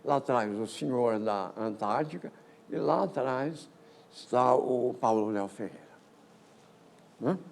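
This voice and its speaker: An older man, giving a physics colloquium talk on history in a lecture hall, halting often with repeated words and false starts. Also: medium pitch, gravelly. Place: lecture auditorium.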